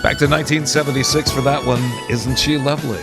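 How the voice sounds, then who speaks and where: A man's voice: medium pitch, fairly even intonation, fast. A man hosting an oldies radio show with casual, folksy DJ patter and conversational asides in a studio. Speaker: radio host. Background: music.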